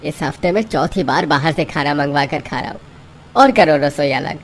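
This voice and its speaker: A woman's voice: low-pitched, animated, normal speed. A woman voicing a hungry, grumbling young husband, tired from office work, in a moral-story cartoon. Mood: angry. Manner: complaining, fed up.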